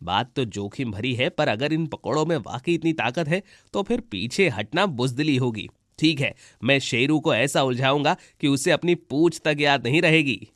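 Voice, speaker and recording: A man's voice: mid-range voice, lively intonation, moderate pace, hardly any pauses, fairly bright tone, dry recording, clean studio sound, lightly acted. Sceptical, plucky crow voiced by a man. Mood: resolved. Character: sceptical but brave male crow friend. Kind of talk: Hindi children's cartoon voice acting.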